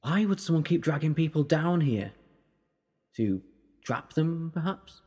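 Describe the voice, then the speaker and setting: A man playing a quick-witted, sardonic time-travelling adventurer in an audio drama, speaking in a wry, emphatic way. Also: on the high side, expressive, warm tone, slightly rough. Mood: dry curiosity. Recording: reverberant, music underneath.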